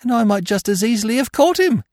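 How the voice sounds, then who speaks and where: Man doing a high-pitched, sullen petty crook. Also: big pitch swings, quick pace, a little mellow, no room sound, clean studio sound. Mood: astonished. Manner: whining, indignant, defiant, colloquial grammar. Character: sullen, cunning, cheeky male petty thief, defiant and slangy. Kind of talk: audiobook character voice.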